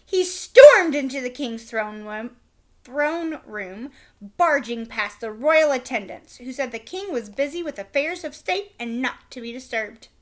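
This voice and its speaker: A woman teacher reading a chapter book aloud to children with expressive, animated storytelling. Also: high-pitched, a little slow.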